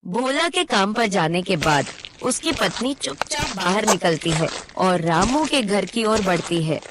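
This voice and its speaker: A woman's voice: mid-range voice, animated, measured pace, noisy background. A woman narrating a scripted moral story as its storyteller.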